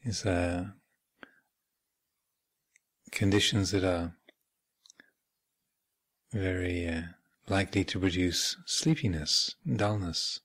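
A man speaking as a meditation teacher, giving measured guided instruction with thoughtful pauses and gentle phrasing. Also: sits low, steady tone. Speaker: Buddhist monk and meditation teacher leading a retreat. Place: meditation hall at a retreat center.

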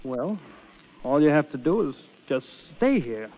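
An American actor playing an earnest, lovestruck small-town young man in old radio drama, boyish and halting. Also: on the high side, sing-song. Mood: earnest, pleading. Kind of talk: 1930s radio drama acting. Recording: dull vintage radio recording.

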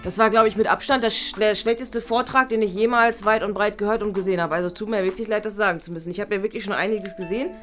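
A woman's voice: medium pitch, fairly even intonation, quick pace, hardly any pauses, fairly bright tone, lightly acted. Sketch teacher, played by a young woman: condescending, rambling, light music bed. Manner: condescending, sighing, rambling with fillers. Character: stern adult female teacher grading pupils. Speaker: actor in a classroom sketch series.

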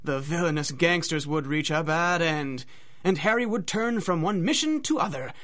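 A woman's voice: low voice, expressive, moderate pace, fairly bright tone. A woman narrating a scripted rhymed adventure story, with a dramatic, singsong rhythm.

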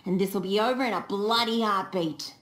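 A woman's voice: medium pitch, moderate pace, fairly bright tone, natural voice. An actress playing a brash, foul-mouthed Australian female bank robber in a video game, mocking and threatening. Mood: contemptuous, threatening. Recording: echoing room sound.

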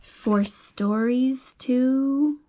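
A young mother reading a picture book aloud to her toddler at bedtime, slow and sing-song, asking coaxing questions about the pictures. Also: sits high, warm tone. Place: child's bedroom at home. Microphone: phone held above the reader's lap.

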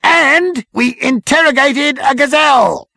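High, loud, zealous military officer a narrator's act. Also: measured pace, creaky, no room sound, exaggerated voice. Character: eager, blustering military second-in-command man.

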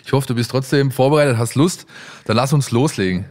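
A male podcast host asking relaxed, conversational questions into a desk mic in a small room. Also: medium pitch, brisk. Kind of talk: podcast interview. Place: small room with window, seated at table.

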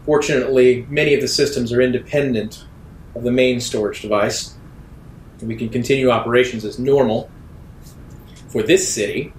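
A man voicing a stern, authoritarian female security captain in a tabletop game, with a measured, accusing manner. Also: medium pitch, fairly even intonation, echoey room, a little hiss or hum, natural voice, poor audio. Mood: defiant, accusing.

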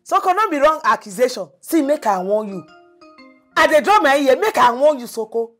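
A woman's voice: high-pitched, big pitch swings, brisk, a bit bright, soft background music. A woman with a Nigerian accent playing a jealous, bossy, threatening wife in a comedy skit. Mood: scolding.

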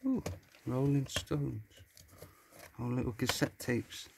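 A man's voice: medium pitch, takes it slowly, vocal fry. A man giving casual, sweary exploring commentary, muttering to himself and to viewers on a handheld recording indoors. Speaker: urban exploration vlogger. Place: indoors in a cluttered, dusty abandoned house. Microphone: handheld phone or camera. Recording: noisy handheld recording with clicks.

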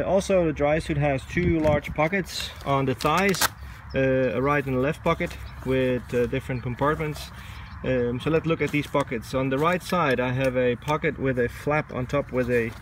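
A man in his forties films himself outdoors on a phone held at arm's length. He talks in a relaxed, halting way, with many fillers, and stops to search for words. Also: medium pitch, background noise. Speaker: dive instructor vlogger. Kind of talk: casual selfie vlog. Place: outdoors, covered shelter and lawn. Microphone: phone camera at arm's length.

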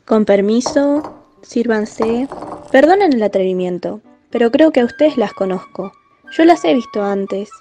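A woman's voice: on the high side, animated, a little slow, mellow. A woman playing a confident, chatty grown-up fairy-tale heroine in a radio play. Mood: polite, curious. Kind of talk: radio theatre acting. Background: music.

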